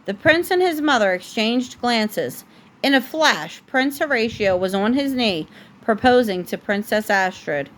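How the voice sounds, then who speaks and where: A woman's voice: on the high side, expressive, a little slow, a bit bright. A woman reading a storybook aloud as a parent at home, with casual asides and the odd stumble over words. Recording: noisy home recording.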